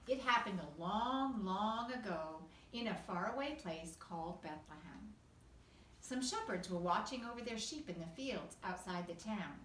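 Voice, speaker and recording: A woman reads a picture book to young children in a teacherly, expressive way. Her pitch moves widely as she tells it. The sound is echoey and picked up from a distance, with faint noise. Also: measured pace. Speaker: pre-kindergarten teacher reading aloud. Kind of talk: expressive children's storybook read-aloud.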